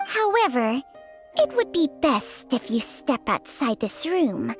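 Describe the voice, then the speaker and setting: A woman's voice: sits high, sing-song, takes it slowly, exaggerated voice. A woman voicing a shrewd, mature snake companion in a video game, composed, dry and formal. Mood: firm, formal. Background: background music.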